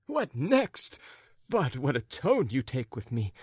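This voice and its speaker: A man voicing a muddled, apologetic middle-aged gentleman in a story, agitated and wordy, stumbling over and correcting himself. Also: mid-range voice, sing-song, normal speed, breathy edge, no room sound, studio quality. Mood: flustered, pleading.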